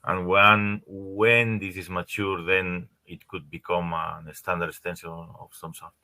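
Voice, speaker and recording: A man answering questions as a panelist in an online webinar, halting and repeating words as he thinks. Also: low-pitched, level tone, pushed and bright. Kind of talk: answering questions on a video call. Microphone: video call.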